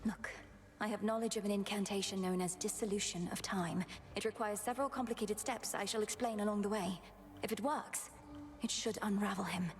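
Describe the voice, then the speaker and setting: A woman playing a resolute young goddess princess in a video game, speaking in a formal, measured, determined way. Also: medium pitch, fairly even intonation, close to an everyday voice. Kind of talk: dramatic video game acting.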